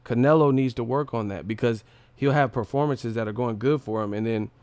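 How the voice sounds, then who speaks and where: A man's voice: mid-range voice, fairly quick, darkish tone, smooth, no echo. Male livestream boxing commentator, mid-range voice, unscripted, blunt, slangy and profane, recorded dry. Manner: blunt, opinionated, slangy, swearing. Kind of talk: casual unscripted livestream fight breakdown.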